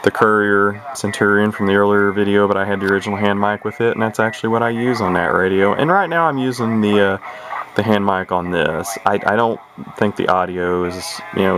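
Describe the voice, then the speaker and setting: A man with a very low voice talks through an old CB radio in an unhurried, rambling way, full of fillers and restarts. The home video is noisy, with radio hiss behind him. It sounds like a camera's built-in mic in a room at home. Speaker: CB radio collector showing a radio. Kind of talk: casual show-and-tell video.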